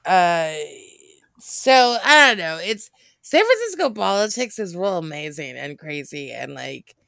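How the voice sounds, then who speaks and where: A woman's voice: lowish voice, sing-song, a little slow, creaky, fairly bright tone, no echo. An American woman chatting casually and candidly, in an informal, rambling way with self-interruptions and some swearing. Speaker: podcast co-host.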